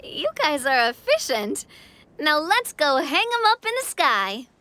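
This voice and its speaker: Anime game heroine: young, playful, casual, lively swooping pitch, bright edge. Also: female voice, unhurried, full character voice. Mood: upbeat. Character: perky, breezy young female train-driving adventurer, teasing. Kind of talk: anime-style video game voice acting.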